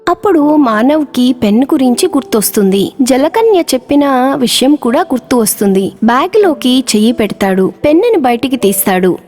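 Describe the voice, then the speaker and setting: A woman narrating a children's cartoon storybook, her voice fairly high. She tells it in a measured way over soft background music. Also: sing-song.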